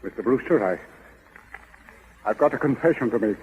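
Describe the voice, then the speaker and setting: An actor playing a timid, guilt-ridden employee in 1930s radio comedy, hesitant, stammering and apologetic. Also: male voice, medium pitch, lively intonation, moderate pace, slightly rough, in a large hall. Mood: nervous, guilty. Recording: muffled, crackly 1930s radio broadcast.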